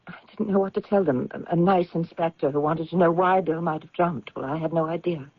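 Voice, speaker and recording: An actress playing an anxious, vulnerable married woman in a radio mystery, gentle and hesitant in manner. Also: low voice, a little fast, dry recording. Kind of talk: radio drama acting. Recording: dull, dry vintage radio recording.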